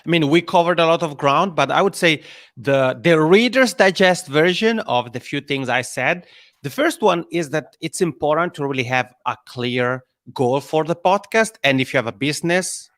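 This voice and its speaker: A man with a Swiss Italian accent, a podcasting consultant who explains his ideas in a friendly, self-deprecating way. His voice sits a little high for a man. The sound is dry and close, with no room around it. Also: lively intonation, normal speed, a bit bright, no room sound. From Italian-speaking Switzerland. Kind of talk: live stream panel chat.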